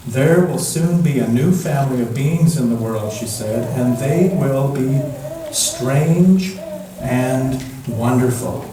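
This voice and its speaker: Slow-reading man in a very echoey room. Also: medium pitch. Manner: gentle, measured, with long pauses. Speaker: storyteller reading at a church. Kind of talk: slow picture-book read-aloud. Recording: echoey room from far off, background noise.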